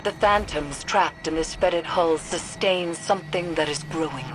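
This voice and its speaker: A woman playing a grave, cryptic occult guide in a video game, speaking in a solemn, deliberate, ominous way. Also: fairly low pitch, noisy background, lightly acted. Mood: grave warning. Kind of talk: dramatic video game acting. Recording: dramatic music behind the voice. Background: game music.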